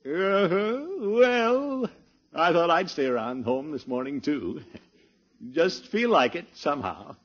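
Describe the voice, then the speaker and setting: An actor playing a jovial, blustery middle-aged American uncle in a 1950s radio comedy, with hearty drawn-out exclamations. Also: male voice, on the high side, expressive. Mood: genial. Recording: dull, noisy vintage radio recording.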